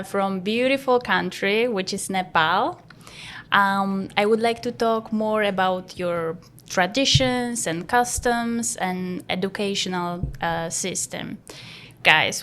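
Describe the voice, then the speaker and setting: A woman academic advisor hosting a podcast, asking students questions slowly with many pauses. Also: mid-range voice, fairly bright tone. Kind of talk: casual interview.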